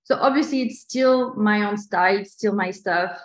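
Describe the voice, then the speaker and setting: A woman's voice: mid-range voice, normal speed. A woman from New Caledonia with a French accent, chatting enthusiastically as she teaches a live online lettering tutorial. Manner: chatty and enthusiastic, many restarts. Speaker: lettering instructor. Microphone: video call. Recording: echoey video call audio.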